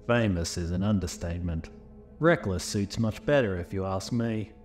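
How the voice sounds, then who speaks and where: Man acting, stern pony spy mentor, lowish voice, bossy, curt, scornful, audio drama. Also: normal speed, darkish tone, faint music behind, natural voice. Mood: dismissive.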